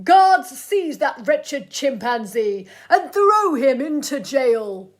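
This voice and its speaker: A woman voicing a pompous, bad-tempered male chimpanzee mayor in a storybook, bellowing drawn-out commands. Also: sits high, big pitch swings, exaggerated voice. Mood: angry, commanding.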